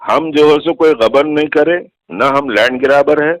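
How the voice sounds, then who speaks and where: An insistent man with a Hyderabadi accent explains himself over a recorded phone call. His voice sits a little high for a man and is dry, with no room echo. He argues defensively, restating phrases to drive them home. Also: normal speed. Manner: insistent, repeats phrases for emphasis. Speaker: political activist being questioned. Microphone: telephone.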